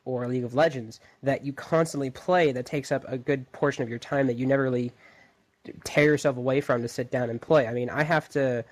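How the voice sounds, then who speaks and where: A man's voice: medium pitch, fairly even intonation, a little fast. A young man co-hosting a casual podcast, easygoing, stringing his thoughts together loosely. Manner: easygoing, loosely strung sentences. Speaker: podcast co-host. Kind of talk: casual chat.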